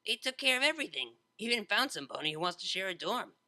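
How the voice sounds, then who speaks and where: A woman playing a laid-back young unicorn DJ in an audio story, talking in a casual, halting, awkward way. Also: mid-range voice, sing-song, bright, forceful tone.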